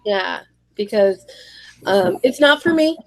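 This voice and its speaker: A woman co-hosting a casual group chat, sick, her voice deeper and more gravelly than usual, acting out what other people say. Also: slow, a little hiss or hum, poor audio. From Pacific Northwest, US. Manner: animated, acts out quoted customers and family. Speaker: podcast co-host.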